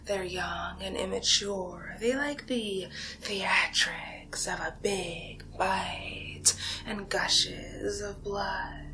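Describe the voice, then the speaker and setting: A woman playing an ancient, seductive female vampire in a whispered ASMR roleplay, taunting her prey in a breathy, theatrical way with drawn-out pauses. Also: medium pitch, a bit bright, slight background noise. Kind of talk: ASMR roleplay monologue, soft-spoken and whispered. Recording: music behind the voice. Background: music.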